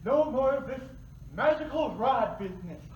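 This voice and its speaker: Man playing a pompous serpent god, high-pitched, echoey. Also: animated, normal speed, dull audio. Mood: stern. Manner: pompous, commanding. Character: sinister, domineering male serpent spirit, a stern fatherly god. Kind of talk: staged play reading. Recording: echoey room, distant mic, faint noise.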